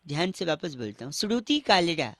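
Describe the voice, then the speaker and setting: A radio host playing Bauaa, a cheeky young prank caller who teases people with wordplay and puns. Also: male voice, on the high side, very animated, fairly quick, a bit bright, no room sound, full character voice. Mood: needling. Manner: teasing, playful, wordplay jokes.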